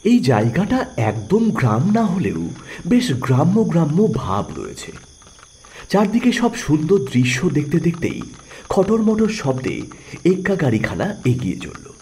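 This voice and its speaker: A male narrator with a fairly high voice tells a scripted story dramatically. His pitch rises and falls very widely, over faint noise and insect-like ambience. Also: huge pitch swings, unhurried, dark tone.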